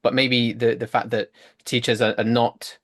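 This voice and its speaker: A man's voice: medium pitch, little pitch movement, normal speed, a bit bright. A man co-hosting an interview, talking casually with many fillers and light jokes. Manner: chatty, lots of ums, joking. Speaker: conference co-chair, interviewer.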